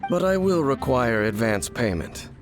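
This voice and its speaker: A man's voice: medium pitch, very animated, unhurried. A man playing a solemn, enigmatic wanderer in a video game, speaking in a cool, formal, cryptic way. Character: solemn, enigmatic male wanderer hunting dark forces. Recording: music behind the voice. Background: background music.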